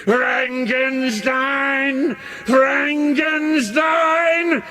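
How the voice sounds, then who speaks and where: Casting: articulate outcast monster, formal and tormented, very slow delivery, radio drama. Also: male voice, very high-pitched, fairly even intonation, pushed and bright, slight background noise, heavily acted. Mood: raging. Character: anguished, articulate male monster, outcast and vengeful. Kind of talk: radio drama acting, first-person narration and dialogue.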